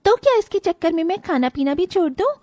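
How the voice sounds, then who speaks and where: A woman playing a selfish, vain, irritable young mother in an animated moral story, with a whiny, dramatic delivery. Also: extremely high pitch, fast talker, no echo, studio quality. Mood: irritated, dismissive.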